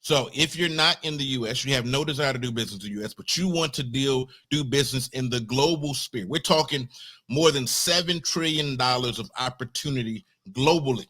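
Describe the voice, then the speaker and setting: Bright-voiced American man hosting an interview. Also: medium pitch, fairly quick. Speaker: host. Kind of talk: casual interview introduction.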